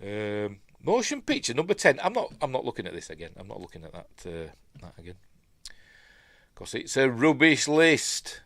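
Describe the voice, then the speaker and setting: Lively-voiced Yorkshire man, sniffly. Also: medium pitch, normal speed, no echo, studio quality. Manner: chatty, self-interrupting asides and mumbled afterthoughts. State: sniffly, stuffy nose. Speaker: host of a news live stream. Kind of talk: rambling live-stream commentary.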